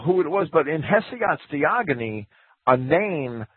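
A man's voice: on the high side, sing-song, measured pace, husky, dry recording. A man guest on a radio talk show, explaining in a hesitant way with many restarts and careful caveats. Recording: phone-like radio audio.

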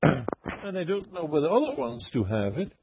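A man calling into an online voice chat show, telling a story in a halting, rambling way with many fillers and frequent laughs. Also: on the high side, huge pitch swings, lo-fi sound, background noise. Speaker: caller.

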